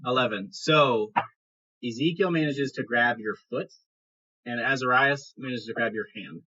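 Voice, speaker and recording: A man's voice: mid-range voice, moderate pace, pushed and bright. A man running a tabletop game as game master, improvising narration in a conversational, explanatory way. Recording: dull lo-fi podcast audio.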